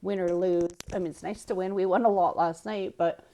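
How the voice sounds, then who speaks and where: A woman's voice: fairly low pitch, steady tone, fairly quick, mellow. A woman co-hosting a podcast, talking in an informal, conversational way. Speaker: podcast co-host. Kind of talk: casual chat.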